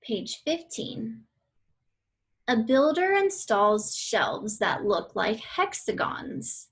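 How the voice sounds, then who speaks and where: Slow, high-pitched woman reading aloud. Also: a bit bright. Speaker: read-aloud reader for children. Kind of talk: children's educational read-aloud.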